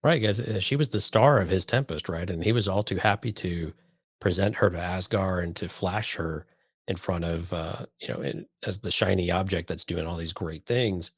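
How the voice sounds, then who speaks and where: A man's voice: low-pitched, moderate pace, husky, dry recording, studio quality. A man co-hosting a podcast, giving his commentary on a story in casual conversation. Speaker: podcast co-host. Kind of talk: casual discussion commenting on an audio drama.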